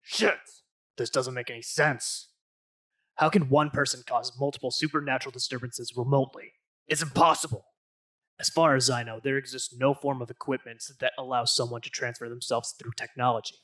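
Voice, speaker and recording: A man playing a tense, serious young team leader in an amateur audio drama, with a rough voice and urgent, commanding manner. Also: mid-range voice, steady tone, brisk, a bit bright, close to an everyday voice. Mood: frustrated. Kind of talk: hobby audio drama acting.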